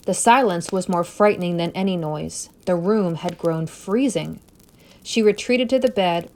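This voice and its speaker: A woman reading a scary story aloud as narrator, expressive and suspenseful, with deliberate phrasing. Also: on the low side. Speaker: story reader.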